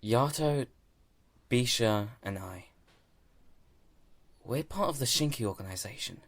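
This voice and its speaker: An actor playing an earnest, loyal young military subordinate in an audio drama, speaking carefully and hesitantly. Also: male voice, mid-range voice, animated, a little slow, fairly bright tone. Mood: careful, guarded.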